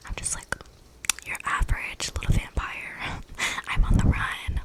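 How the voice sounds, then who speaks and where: A woman's voice: a little slow, lightly acted, rough recording. A woman playing an eccentric, chatty young vampire in a whispered, slangy ASMR roleplay, breathing close to the microphone. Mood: casual, sly. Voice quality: breathy whisper with creaky edges. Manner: rambling, casual slangy delivery with many likes. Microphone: close microphone with audible breathing.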